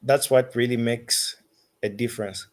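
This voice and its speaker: A man with a Zambian accent answering interview questions as a musician, phrasing his thoughts deliberately and haltingly. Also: mid-range voice, fairly even intonation.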